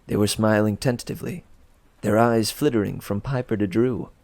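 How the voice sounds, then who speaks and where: A man reading a storybook aloud as expressive narration, with a gravelly voice. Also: on the low side, moderate pace, no room sound. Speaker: storybook reader.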